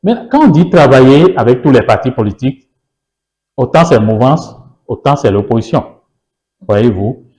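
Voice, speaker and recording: A man whose first language is Yoruba speaks as an insistent political guest on a televised interview. He hammers his points with repeated phrases and pointed rhetorical questions, in a voice of ordinary male pitch. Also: lively intonation, normal speed, a little mellow.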